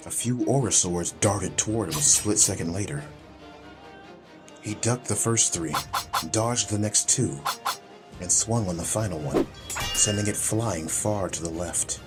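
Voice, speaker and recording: A man's voice: lowish voice, a little slow, music in the background. A man reading a scripted, dramatic story as an audiobook narrator.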